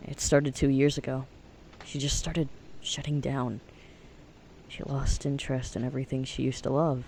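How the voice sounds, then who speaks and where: A man's voice: mid-range voice, flat delivery, moderate pace, gravelly, darkish tone, slight background noise, lightly acted. A man playing a friendly, earnest teenage boy in an audio drama. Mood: gentle, sincere.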